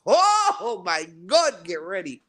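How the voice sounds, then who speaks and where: A man co-hosting a rowdy sports podcast, talking loud, brash trash talk. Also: high-pitched, huge pitch swings, slow, a crowd in the background. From Cuban and Italian heritage. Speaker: podcast co-host.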